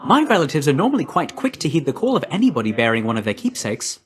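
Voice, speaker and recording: A man's voice: on the high side, huge pitch swings, quick pace. A man voicing a breezy, chummy young messenger god in a video game, with a slangy, glib, friendly manner. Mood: chummy, confiding.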